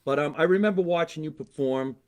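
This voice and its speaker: A man's voice: fairly high pitch, fast. A man hosting a podcast as a stand-up comedian, chatting casually and joking in a chummy way with his guest. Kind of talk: casual chat interview.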